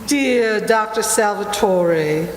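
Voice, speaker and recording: A woman's voice: mid-range voice, expressive, slow. A woman acting in a radio drama as a worldly, mocking signora who is bored and craves adventure, theatrical and teasing. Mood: mocking amusement. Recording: echoey hall with faint noise.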